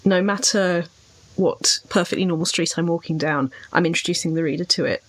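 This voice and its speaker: A woman's voice: lowish voice, a little fast, low-quality recording. A woman hosting a casual podcast discussion, making her points emphatically and jumping in readily. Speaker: podcast host.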